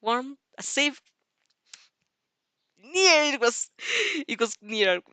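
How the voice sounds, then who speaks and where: Lady doing a sweet teasing vampire girl, kinda high and bright, fanfic dub. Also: expressive, drawn-out words, smooth, dry recording, heavily acted. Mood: tender, sincere. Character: young vampire girl, playful, affectionate, self-controlled. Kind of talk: audio fanfic dubbing.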